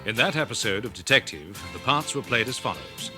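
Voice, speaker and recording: A man's voice: fairly low pitch, very animated, fairly quick. A man reading scripted closing credits as a radio announcer. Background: music.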